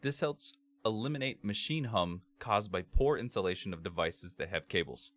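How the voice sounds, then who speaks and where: Male tutorial presenter, bass-heavy and fairly low, reading out instructions step by step at a measured delivery. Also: expressive, fairly bright tone. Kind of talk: scripted software tutorial narration. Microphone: computer microphone, screen-capture voice-over.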